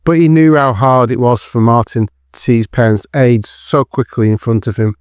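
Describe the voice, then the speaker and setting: A man's voice: medium pitch, fairly even intonation, normal speed, darkish tone, smooth, no room sound, studio quality. A man narrating a scripted dramatic story as the narrator of an audio drama.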